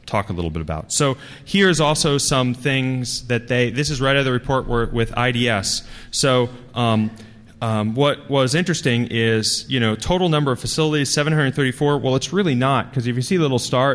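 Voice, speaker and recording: A man presents technical material to a conference audience in a halting, conversational way. His mid-pitched voice rambles informally from point to point, carried by the long reverb of a big hall. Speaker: security researcher giving a conference talk. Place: large conference hall, speaking to a live audience.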